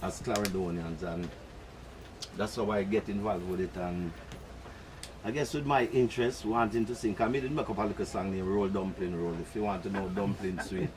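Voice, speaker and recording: Deep-voiced older Jamaican man, noisy room. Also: steady tone. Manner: unhurried, rambling, with Jamaican patois. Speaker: guest at a press conference. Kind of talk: relaxed storytelling.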